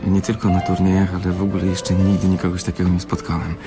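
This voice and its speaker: Casting: flattering suitor in radio play, lowish voice, flirty repetitions, music clearly behind. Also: male voice, quick pace. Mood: flirtatious, admiring. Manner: flattering, repeating words. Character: smooth-talking, flirtatious male chess runner-up. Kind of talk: Polish radio drama acting.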